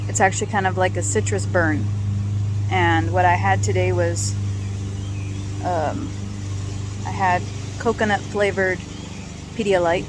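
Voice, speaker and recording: Hoarse woman vlogging over traffic noise. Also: lowish voice. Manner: halting, with frequent ums and restarts. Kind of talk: casual personal vlog.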